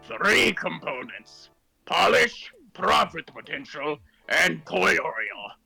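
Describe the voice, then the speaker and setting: A man voicing a greedy, boastful, shady game-company boss with a gravelly cartoon voice and emphatic delivery. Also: a little high, very animated, slow pace, pushed and bright, soft background music, exaggerated voice. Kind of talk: cartoon voice acting in a fan story reading.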